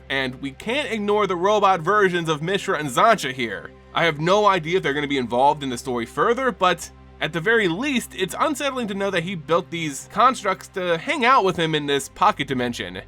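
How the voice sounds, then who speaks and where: A man with a fairly high, lively voice narrates fantasy lore in a dramatic, scripted style. His pitch moves around a lot as he tells it like an expressive storyteller. Soft music plays behind him. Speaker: host of a fantasy game lore channel.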